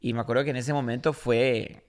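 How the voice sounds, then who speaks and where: A man with a Paraguayan Spanish accent speaks at a middling pitch. He hosts a religious podcast as a pastor, talking conversationally and repeating phrases for emphasis as he tells stories. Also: fairly even intonation, a little fast. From Paraguay, born in Argentina.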